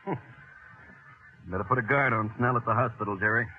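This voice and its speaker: A man plays a businesslike policeman in a vintage radio drama. His voice is medium-pitched, and the recording is muffled and narrow. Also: fairly quick, natural voice. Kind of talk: 1940s radio acting.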